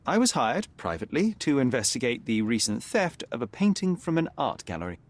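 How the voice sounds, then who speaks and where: A man voicing a confident young male detective in a video game, calm and precise. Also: medium pitch, brisk. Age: young adult. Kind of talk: video game cutscene dialogue.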